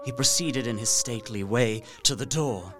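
A man playing an earnest, anguished young English gentleman held prisoner, narrating his diary dramatically in an audio drama. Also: medium pitch, normal speed, natural voice. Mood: rising dread. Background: music under the voice.

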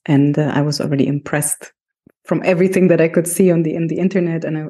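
A woman's voice: deep voice, fairly even intonation, fast, mellow. A woman hosting a podcast, presenting and putting questions to a guest. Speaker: podcast host. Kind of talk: scripted introduction and interview questions.

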